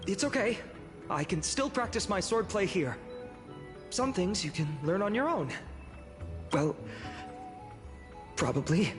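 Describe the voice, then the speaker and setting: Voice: medium pitch, sing-song, a little slow, long pauses, over music. A performer playing an earnest, youthful boy swordsman in a video game, fond and slightly unsure. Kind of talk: video game character acting.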